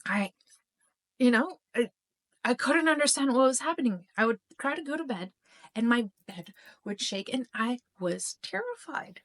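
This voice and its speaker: A woman's voice: fairly high pitch, lively intonation, fairly bright tone. Emotional woman telling a personal story. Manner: emotional, halting, many restarts and self-interruptions. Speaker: spiritual YouTube channel host.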